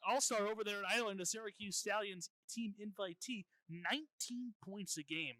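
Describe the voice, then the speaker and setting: A man's voice: sits high, brisk, sharp tone. A man giving conversational color commentary during a live televised basketball game.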